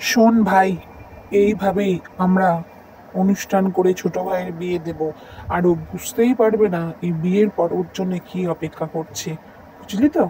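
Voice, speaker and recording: An actor playing a scheming, greedy elder brother in an animated moral story, bossy and commanding. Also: medium pitch, moderate pace, slight background noise, close to an everyday voice, low-quality recording. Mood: sly, conspiring.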